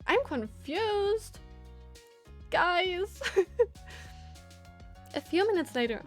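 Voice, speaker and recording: A woman with a high voice streams a visual novel. She moves between read-aloud narration and casual commentary, thinking aloud hesitantly over faint background music. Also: sing-song, drawn-out words.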